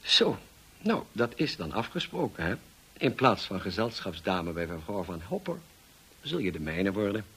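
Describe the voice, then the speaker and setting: An actor playing a brusque, teasing, moody gentleman in a Dutch radio drama, speaking in a dry, commanding way. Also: on the low side, very animated, normal speed, slightly rough, exaggerated voice. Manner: dry, commanding, clipped questioning.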